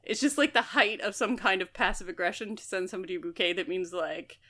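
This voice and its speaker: A woman's voice: medium pitch, fast talker, pushed and bright. A woman podcast host casually discussing a book, explaining things with mock quotes as she goes.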